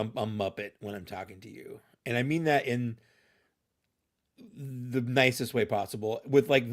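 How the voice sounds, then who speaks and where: A man chatting as a guest on a live show, joking and laughing easily. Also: mid-range voice. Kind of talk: casual live chat.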